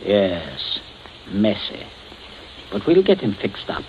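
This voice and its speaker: A man's voice: on the low side, huge pitch swings, slow, full character voice. A man acting in a 1940s radio drama as a coolly menacing, domineering father, clipped and blandly courteous. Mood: cold, commanding. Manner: clipped, commanding, blandly courteous while threatening. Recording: muffled, hissy 1940s radio broadcast.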